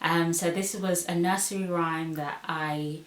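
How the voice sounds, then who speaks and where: A woman's voice: lowish voice, fairly even intonation, measured pace. A woman from Zambia chatting casually on her vlog, reading out questions with lots of ums and sos. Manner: chatty, many "um"s and "so"s. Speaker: vlogger. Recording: echoey room, far from mic.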